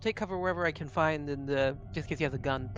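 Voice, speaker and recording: A woman's voice: deep voice, racing, highs cut off. A woman chatting casually as a player in a tabletop roleplaying game.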